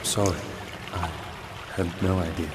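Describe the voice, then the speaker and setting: An actor playing an earnest, matter-of-fact agent who supports a vampire hunter in a video game. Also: male voice, deep voice, flat delivery, a little slow, noisy background.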